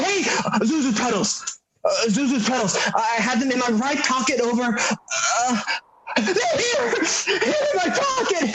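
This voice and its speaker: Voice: high-pitched, rough recording. A performer playing a desperate middle-aged man who stammers and gasps in frantic bursts.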